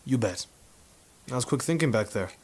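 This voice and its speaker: A man's voice: mid-range voice, lively intonation, normal speed, darkish tone, slightly rough. A man playing a cocky war photographer turned sleuth in a hard-boiled 1950s noir radio drama, with a dry, wisecracking delivery. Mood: easygoing, wry.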